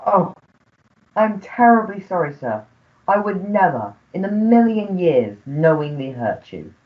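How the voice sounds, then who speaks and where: A woman's voice: on the low side, very animated, a little slow, lo-fi sound. A woman playing a long-suffering, deferential English manservant in a comic melodrama radio play, formal and apologetic.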